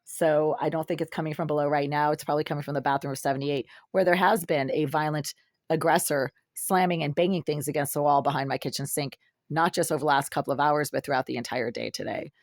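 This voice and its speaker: A woman with a low, raspy voice delivers an intense, accusing monologue to her camera. She talks in long run-on sentences. The sound is close and dry, with no room echo. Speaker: vlogger. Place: her apartment, sitting in the dark.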